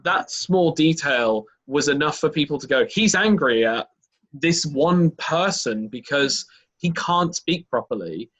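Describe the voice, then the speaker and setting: A man's voice: a little high, expressive, measured pace, a bit bright, low-quality recording. Man rambling about running games. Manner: rambling, many fillers and restarts. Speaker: game master. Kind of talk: casual podcast chat.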